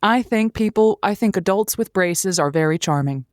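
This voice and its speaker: A woman's voice: medium pitch, animated, moderate pace, dry recording, studio quality, natural voice. A woman playing a waffling, people-pleasing radio advice host who keeps hesitating and restarting her sentences. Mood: flustered. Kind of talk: improvised audio drama.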